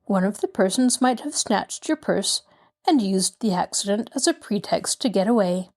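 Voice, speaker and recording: A woman voicing a courteous middle-aged male lawyer who speaks in a measured, reassuring way. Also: mid-range voice, expressive, darkish tone. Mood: calm.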